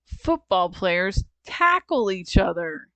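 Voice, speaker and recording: A woman's voice: mid-range voice, big pitch swings, slow pace, a bit bright, no room sound, full character voice, poor audio. An exasperated young boy from a children's storybook, voiced by a woman reader. He is reluctant and curt, grumbling his short replies. The sound is close and dry.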